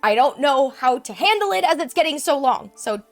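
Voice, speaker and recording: Stuffy-nosed woman streamer, chatty and rambling, slightly high voice, soft game music underneath. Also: expressive, normal speed. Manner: chatty, rambling, lots of fillers. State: congested from a cold. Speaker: let's play streamer. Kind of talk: casual gameplay commentary.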